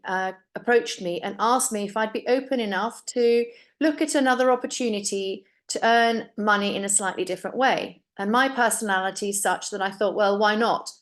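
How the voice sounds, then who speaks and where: A middle-aged woman originally from Finland giving an earnest, upbeat sales presentation about a business opportunity, speaking personally to her audience. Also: mid-range voice.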